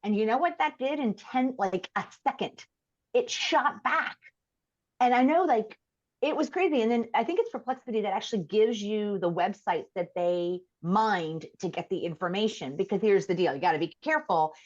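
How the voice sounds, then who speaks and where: A woman in her fifties, a podcast guest chatting casually in an animated, emphatic way. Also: medium pitch, dull audio. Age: 50s. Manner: animated and emphatic, quick to laugh.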